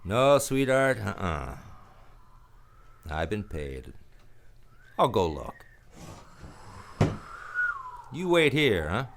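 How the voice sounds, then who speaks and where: Man acting a low-pitched hard-boiled private eye. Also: very animated, drawn-out words, creaky, long pauses, heavily acted. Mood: probing, wry. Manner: world-weary, laconic. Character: hard-boiled male private detective, cynical, protective of a woman. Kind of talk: radio play acting with first-person noir narration.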